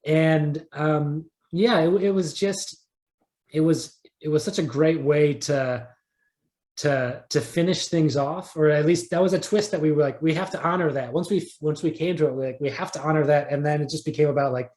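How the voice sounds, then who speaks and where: A man speaking as an interview guest, chatting casually and thinking aloud in halting, repeated phrases. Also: on the high side, steady tone, darkish tone. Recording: echoey, roomy sound.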